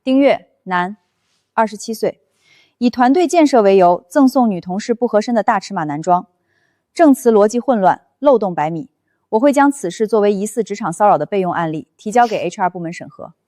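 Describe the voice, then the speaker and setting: A woman's voice: medium pitch, lively intonation, brisk, warm tone, no room sound, lightly acted. Woman playing a strict rule-obsessed lady boss in a cartoon drama, mid voice, officious and accusing, very dry audio. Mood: cold, official. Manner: formal, accusatory, bureaucratic. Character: stern, officious female manager policing office rules. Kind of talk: animated short-drama dialogue.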